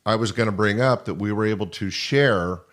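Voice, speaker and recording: A man's voice: lowish voice, fairly quick, fairly bright tone. An older man hosting a conversational interview, reminiscing in a rambling way. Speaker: podcast host, former comedy club owner.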